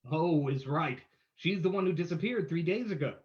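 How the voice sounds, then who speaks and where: A man's voice: on the high side, normal speed, natural voice. A man playing a breezy, wisecracking amateur sleuth in an old-time radio reading, with dull lo-fi audio. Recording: dull lo-fi live stream audio.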